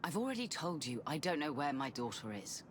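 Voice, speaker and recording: A worried middle-aged mother in a video game, guarded and pleading. Her lowish voice moves widely in pitch, with faint noise behind it. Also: very animated, fairly quick. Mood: wary, guarded. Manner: wary, pleading. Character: guarded, anxious middle-aged mother working under coercion. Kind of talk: video game cutscene acting.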